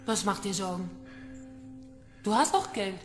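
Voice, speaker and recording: A cool, taunting female villain acted by a woman speaks over clear background music in a radio thriller. She is composed, mocking and bent on revenge. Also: medium pitch, lively intonation, a little slow, lightly acted. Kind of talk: radio crime drama acting. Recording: music bed under the voice.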